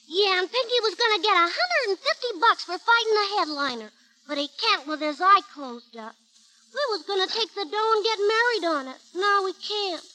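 An actress playing a shrill, dim, loyal young girlfriend with a squeaky voice and a working-class American city accent, in 1930s radio comedy. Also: expressive, moderate pace, sharp tone, heavily acted, rough recording. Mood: plaintive. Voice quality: squeaky, piercing.

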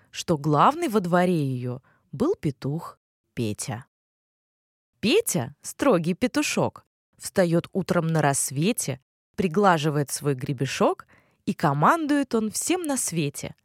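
A woman narrates a children's fairy tale with a soft, sing-song rhythm, her fairly low voice rising and falling in a lively way. The recording is close and dry, with no room sound. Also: dry recording. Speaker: storyteller.

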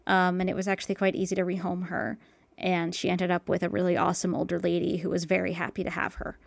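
A guest on a casual podcast, telling personal stories in a chatty way with plenty of fillers. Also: female voice, on the low side, monotone, fast talker, gravelly, no echo. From United States. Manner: chatty, storytelling with many fillers.

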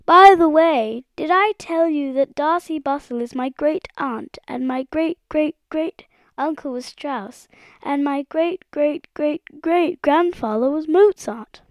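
A woman voicing a short, squat, boastful male frog with a pompous, excitable manner in a children's story. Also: high-pitched, slow pace, no room sound, voice pushed up.

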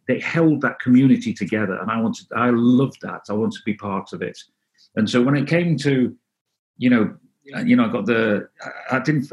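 A middle-aged man from North Wales, Welsh as his first language, answering interview questions in a halting, casual way with many restarts. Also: mid-range voice, highs cut off. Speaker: interview guest.

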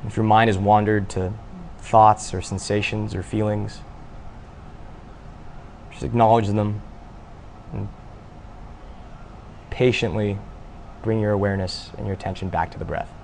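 A man guiding a breathing meditation, speaking in short, unhurried instructions with long pauses between them. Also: lowish voice, vocal fry. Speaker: meditation guide. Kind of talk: guided meditation, spoken instruction. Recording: faint steady background noise.

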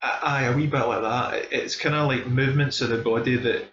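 A man's voice: mid-range voice, fairly even intonation, brisk, fairly bright tone. Enthusiastic Scottish man, echoey room. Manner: enthusiastic, emphatic. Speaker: film discussion podcast host. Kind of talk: casual film review chat. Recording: dull, echoey room recording.

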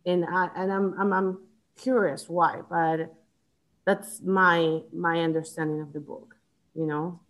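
A woman from Chile talks through her thoughts on a book in a relaxed discussion. Her voice sits a little low. She hesitates often, restarting phrases and filling gaps with "like" and "you know.". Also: unhurried, poor audio. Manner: hesitant, many restarts and fillers. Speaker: book club member.

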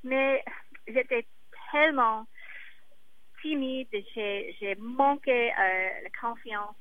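A woman singer whose first language is English, speaking French haltingly and often pausing to search for words. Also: on the high side, phone-quality sound, a little hiss or hum. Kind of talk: interview in a second language.

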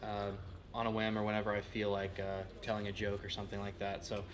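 A man's voice: lowish voice, completely flat delivery, fast talker. A man from Texas introducing himself as a guest, in a modest, hesitant way. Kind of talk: casual self-introduction.

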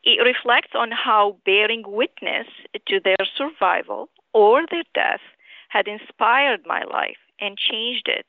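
A middle-aged woman from Syria giving measured, articulate, formally phrased answers as an author and physician in an interview over the telephone. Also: medium pitch, no room sound. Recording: tinny narrow phone line.

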